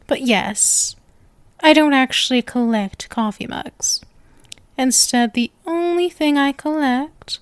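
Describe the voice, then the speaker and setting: A woman's voice: sits high, no echo. A woman playing a sweet, possessive, lovestruck young witch in an ASMR roleplay, coy and teasing as she addresses the listener. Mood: flustered, grudging, then coy, boastful. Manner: coy, teasing, sugary with menacing devotion.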